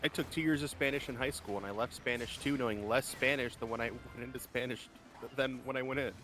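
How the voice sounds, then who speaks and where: A man chatting casually with a streamer over voice chat, joking in quick asides. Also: medium pitch, fairly even intonation, bright, forceful tone, soft background music. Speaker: friend on voice chat.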